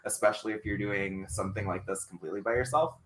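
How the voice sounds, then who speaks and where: A man whose first language is Spanish, hosting a solo live stream and talking about conflict. He sometimes loses his thread, fills the pause with filler words and restarts, and reacts to viewer comments. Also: fairly low pitch, fairly bright tone, rough recording.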